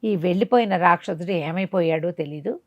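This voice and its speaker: A woman telling a bedtime tale in an animated, conversational way, dramatically and with rhetorical questions. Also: on the low side, fairly quick, no room sound, highs cut off. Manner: dramatic, asks rhetorical questions as she narrates. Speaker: storyteller.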